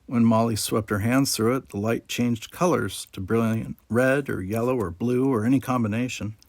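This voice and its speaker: A man narrates a story as an amateur audiobook reader. His voice sits at a middle pitch. The recording is dry, with little trace of a room. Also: steady tone, moderate pace, a little mellow, no echo.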